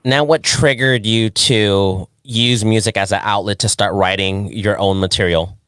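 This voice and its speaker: A man's voice: on the low side, steady tone, a little slow, a bit bright, clear, resonant tone, no echo. A man from San Diego hosting a music interview show, friendly and leaning on fillers like "you know". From San Diego, California. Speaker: show host.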